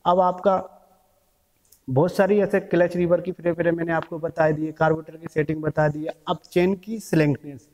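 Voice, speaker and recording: A man's voice: fairly high pitch, little pitch movement, moderate pace, darkish tone, muffled recording. A middle-aged man explains as a mechanic trainer, in a repetitive, instructional manner, giving a hands-on tutorial. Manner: repetitive, instructional, checks in with "okay". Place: large bare indoor training workshop. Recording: echoey hard-walled workshop.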